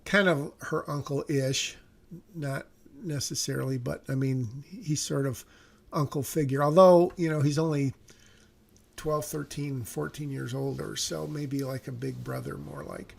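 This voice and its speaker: A screenwriter thinking aloud about his draft, hesitant and self-correcting, his voice a little raspy today. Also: mid-range voice, fairly even intonation, a little slow. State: slightly raspy voice. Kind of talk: thinking aloud while reviewing a script.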